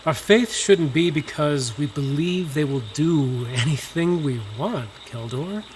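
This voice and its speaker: A man playing a calm, devout knight with an earnest, measured manner in a fantasy audio drama. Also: a little high, a little hiss or hum.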